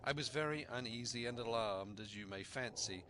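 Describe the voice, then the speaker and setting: A man reading a story aloud as an expressive narrator. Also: mid-range voice, moderate pace, a little hiss or hum. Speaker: storyteller, audiobook reader.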